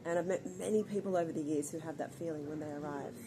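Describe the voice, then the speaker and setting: A middle-aged woman from Australia answering interview questions in a thoughtful, earnest, measured way. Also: sits low. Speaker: guest, founder of an organization. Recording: background ambience noise.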